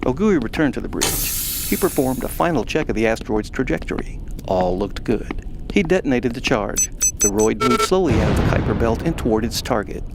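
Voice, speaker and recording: A man narrating a science fiction audio story in a measured, even way. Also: medium pitch, background noise.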